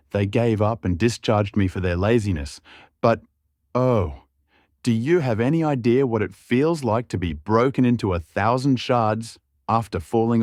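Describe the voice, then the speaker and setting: A man's voice: on the low side, darkish tone, no echo, clean studio sound, lightly acted. A man voicing a bitter, mocking porcelain guardsman, a murderous villain with an aggrieved, taunting way of talking in an audiobook story.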